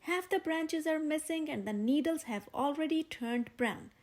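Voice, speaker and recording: A woman reading the lines of an eager, stubborn male bear in a children's storybook, with an insistent, excited manner. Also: sits high, normal speed, voice pushed up, close to an everyday voice. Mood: scornful.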